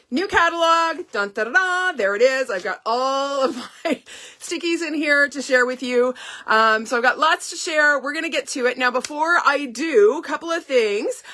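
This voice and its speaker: Woman, middle-aged, live-stream host, bright tone, slightly hoarse, stuffy nose, chatty, upbeat. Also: fairly high pitch. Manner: chatty, upbeat, frequent laughs and ums. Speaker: independent stamping demonstrator hosting a live launch. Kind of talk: casual live-stream chat.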